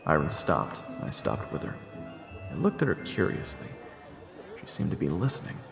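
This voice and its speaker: Snarky young male adventurer played by a man, animated audio drama storytelling with wry sarcasm, gravelly fry. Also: low-pitched, moderate pace, exaggerated voice. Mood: wry narration. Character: sarcastic young male traveler narrating his own adventure. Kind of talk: first-person dramatic narration and dialogue. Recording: roomy echo with long reverb, music behind.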